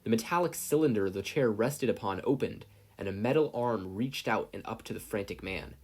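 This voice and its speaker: A man's voice: fairly low pitch, fairly quick. A man reading scripted fiction aloud as a story narrator. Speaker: podfic reader.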